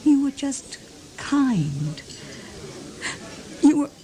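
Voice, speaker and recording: Needy, manipulative wife, very animated. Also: female voice, sits high, drawn-out words, slight background noise, exaggerated voice. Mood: wheedling. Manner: theatrical, wheedling, turning from breathy coaxing to barbed. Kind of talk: radio drama acting.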